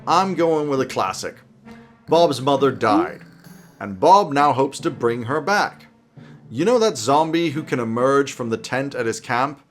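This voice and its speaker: A man in his thirties giving a conversational explainer to camera as a host, with an animated, casual, dryly humorous manner. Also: medium pitch, a little slow, soft background music. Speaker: tabletop roleplaying game advice host. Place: small home office, indoors.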